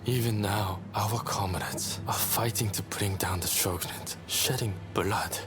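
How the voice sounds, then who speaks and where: A man's voice: on the low side, unhurried, over music, close to an everyday voice. A man voicing a defiant, impatient revolutionary warrior in a dramatic video game cutscene.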